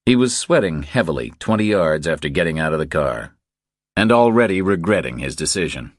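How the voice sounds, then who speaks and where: Man, low-pitched, audiobook narrator, scripted thriller storytelling, dry studio-like sound. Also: big pitch swings, fairly quick, no room sound.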